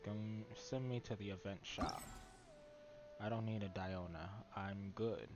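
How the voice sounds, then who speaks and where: Low-voiced, laid-back male game streamer. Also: unhurried, dull audio, heavy background noise. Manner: laid-back, thinking aloud. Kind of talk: casual live gameplay commentary. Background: game music.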